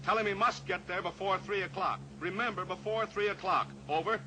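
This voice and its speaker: Guy acting steely cowboy pilot hero in old radio show, cool and taunting, highish voice, noisy recording. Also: fairly quick, lightly acted. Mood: urgent, businesslike. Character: steely heroic cowboy pilot, coolly pressuring a captive killer.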